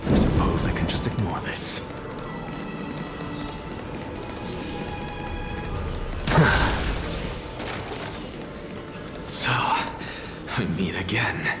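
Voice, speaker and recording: A man voicing an aloof, curious, self-reliant scholar in a video game, musing to himself in a hushed, detached way. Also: sits low, lively intonation, slow pace. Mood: wry, intrigued. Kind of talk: scripted game voice acting, hushed soliloquy. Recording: background music under the voice. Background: music.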